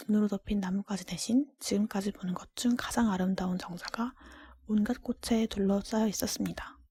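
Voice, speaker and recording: Woman, ASMR story reading, extremely breathy whisper, mellow, gentle, careful, dry sound. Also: mid-range voice, no echo. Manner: hushed, gentle, careful narration. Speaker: storybook reader.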